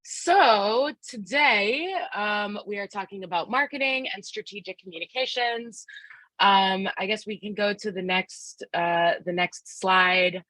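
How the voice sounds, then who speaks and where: A woman's voice: mid-range voice, measured pace, bright, forceful tone, no room sound, low-quality recording. A woman from Philadelphia presenting a live online workshop. She speaks in an upbeat, encouraging way, asks the audience to join in, and uses many fillers. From Philadelphia, United States. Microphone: video call microphone.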